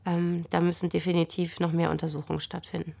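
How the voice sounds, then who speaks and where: A woman's voice: lowish voice, flat delivery, quick pace, gravelly, darkish tone, soft background music. A young adult woman, a mother, recalling her experience in a documentary interview. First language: German. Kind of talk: personal documentary interview recollection.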